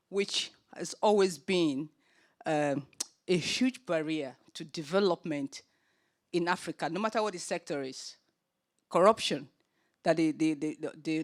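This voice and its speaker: Earnest Nigerian woman chairing a panel. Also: low voice. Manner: earnest, with many filler pauses. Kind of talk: opening remarks at a forum panel.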